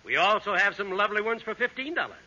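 A man's voice: sits high, animated, quick pace, pushed and bright, dull audio, heavily acted. A man playing an eager, polite department store clerk in a 1940s radio comedy, recorded with the muffle of vintage radio. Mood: helpful.

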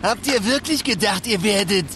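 A man playing a menacing, paranoid villain in a German game dub, gravelly and taunting. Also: sits high, slight background noise. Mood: furious. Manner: taunting, threatening, drawn-out. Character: volatile, menacing male villain, gloating and paranoid. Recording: loud film music behind.

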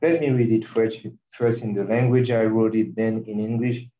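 Haitian guy reading poems slow n soft, low mellow voice, muffled echoey room on a video call. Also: little pitch movement. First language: Haitian Creole. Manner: slow, measured, soft-spoken. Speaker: poet reading. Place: large room with seated audience.

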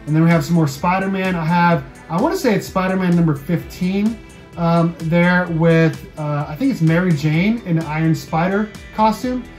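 A man's voice: high voice, moderate pace, darkish tone, faint music behind. An American man in his thirties hosting a casual video, running through items for sale in a room at home. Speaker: YouTube channel host. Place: small room at home, indoors.

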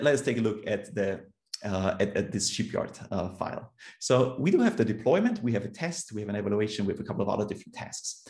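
A man's voice: fairly low pitch, gravelly, darkish tone, echoey room. A man in his thirties from Austria with an Austrian German accent, giving a technical conference talk over a video call, explaining steadily with fillers and restarts. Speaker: conference speaker.

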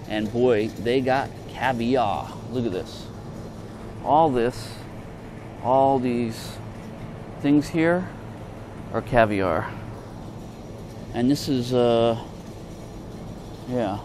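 A man with a mid-range voice talks slowly as he films a casual shopping vlog. He is offhand and hesitant, often leaving thoughts in fragments. The supermarket's ambient noise is plainly audible around him. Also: mid-range voice, darkish tone. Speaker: vlogger. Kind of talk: casual shopping tour commentary. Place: large indoor supermarket. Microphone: handheld camera from behind it. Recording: clear store ambience noise.

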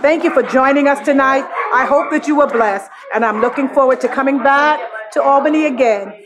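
A woman's voice: sits high, brisk, noisy background. A woman pastor giving viewers a short, preaching blessing with light laughs. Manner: warm, preaching, laughs between phrases. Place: church banquet hall.

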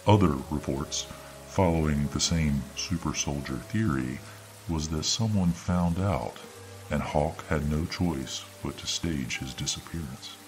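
A man narrating a scripted horror story in ASMR style, with an ominous, drawn-out delivery. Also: extremely low pitch, low-quality recording. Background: background music.

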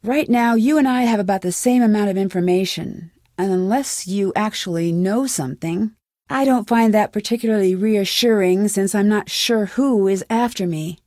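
A woman's voice: mid-range voice, normal speed, warm tone, no echo, studio quality, lightly acted. A woman voicing a determined, suspicious young amateur detective in a video game, with an earnest, questioning manner. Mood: insistent.

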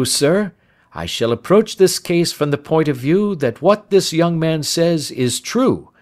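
A man voicing a sharp, confident Victorian detective in an audiobook, speaking in an assured, articulate and emphatic way. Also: mid-range voice, voice pushed up, lightly acted.

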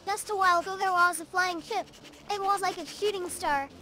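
A woman voicing an eager, excitable little girl in a fantasy video game. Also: very high-pitched, brisk, heavy background noise. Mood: excited. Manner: eager, childlike. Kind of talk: fantasy game character acting.

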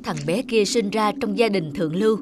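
A woman's voice: mid-range voice, a little fast, noisy background, natural voice. A woman voicing a dutiful young apprentice Grim Reaper in a cartoon, earnest and brooding. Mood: brooding, pitying. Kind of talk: animated fairy-tale dub.

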